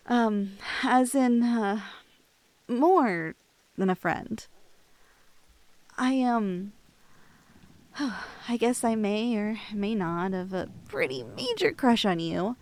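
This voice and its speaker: A woman's voice: on the high side, no echo, full character voice. Woman acting shy lovestruck girl, close and dry. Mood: nervous, bashful. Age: young adult. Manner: girlish slangy speech, halting and bashful. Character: shy, lovestruck young cosplayer confessing to a friend. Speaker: ASMR roleplay performer. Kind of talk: intimate ASMR roleplay acting. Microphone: close, dry studio-style microphone.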